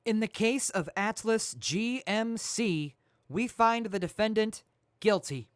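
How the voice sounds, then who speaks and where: A woman's voice: medium pitch, slow pace, no room sound, clean studio sound, natural voice. A woman voicing a jury foreperson who reads the verdicts formally. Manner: flat, formal reading of verdicts. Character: female jury foreperson, businesslike.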